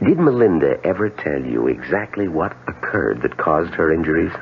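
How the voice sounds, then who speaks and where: Very deep, formal radio drama doctor. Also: male voice, big pitch swings, gravelly, heavily acted. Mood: measured, reassuring. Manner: formal, deliberate, polite. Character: calm, measured male doctor offering psychedelic suggestion cures. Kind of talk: 1970s radio drama acting. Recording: tinny, band-limited old radio broadcast, faint hiss.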